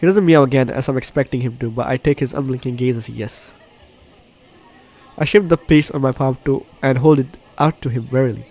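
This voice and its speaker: A man's voice: mid-range voice, fairly quick, darkish tone, low-quality recording. A man hosting a game playthrough, reading the story aloud with reactions, often stumbling and correcting his words. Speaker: gaming video host. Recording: game music behind the voice. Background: game music.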